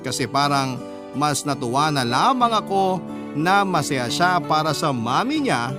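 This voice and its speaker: Higher-voiced Filipino man narrating over loud music. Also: very animated, a little slow. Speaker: radio drama narrator. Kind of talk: scripted first-person story narration. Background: loud guitar music bed.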